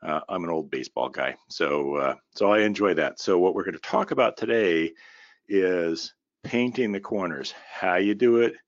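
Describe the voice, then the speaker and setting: A man hosting a business podcast alone, talking in a folksy way with many fillers, his voice a little raspy and tired. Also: low voice, normal speed, no room sound, muffled recording. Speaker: podcast host and company founder. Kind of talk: solo business podcast monologue.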